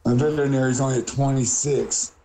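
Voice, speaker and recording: A man's voice: mid-range voice, steady tone, brisk, warm tone, rough recording. A man playing a game with a friend, teasing him with crude banter. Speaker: streamer's friend. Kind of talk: casual gaming chat.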